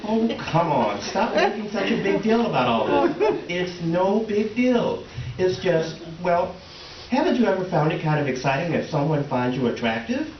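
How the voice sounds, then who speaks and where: A man's voice: high voice, animated, moderate pace, muffled recording. A man playing a mild, defensive middle-aged husband, hesitant and conciliatory, in a comic readers theater play. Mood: defensive, placating. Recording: echoey, distant, very noisy stage recording.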